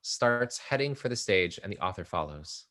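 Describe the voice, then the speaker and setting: A man with a fairly low voice narrates stage directions at a table read. He delivers scripted description of the action between the actors' lines. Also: expressive, normal speed, sharp tone.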